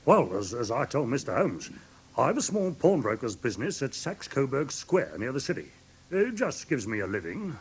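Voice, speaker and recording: A very husky, excitable English pawnbroker. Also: male voice, mid-range voice, normal speed. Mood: rambling, earnest. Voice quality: husky, rough. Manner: flustered, hesitant, emphatic exclamations. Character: excitable, self-important small-business pawnbroker, naive working man. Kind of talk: classic British radio drama acting.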